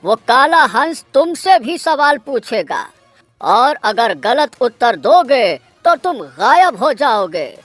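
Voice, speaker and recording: A woman's voice: sits high, very animated, takes it slowly, no echo, faint music behind, heavily acted. A woman playing a solemn village headman, earnest and cautioning, in a kids' cartoon story. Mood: grave warning. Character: solemn village headman warning his people.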